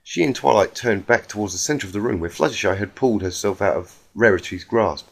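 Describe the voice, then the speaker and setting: A man reading a fan fiction story aloud in an earnest, expressive, dramatic amateur narration. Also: fairly low pitch, fairly quick, creaky.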